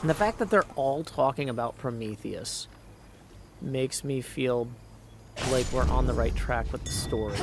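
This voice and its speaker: A man's voice: medium pitch, noisy background. A man casually commentating on a game as a livestreamer, thinking aloud and reading bits of on-screen text.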